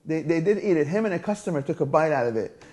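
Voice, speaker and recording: A man's voice: a little high, lively intonation, quick pace, a little mellow. A man from Syria chatting casually as an interview guest, talkative and jokey, often repeating his phrases. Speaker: guest, fair fried-food vendor. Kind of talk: casual interview chat, storytelling.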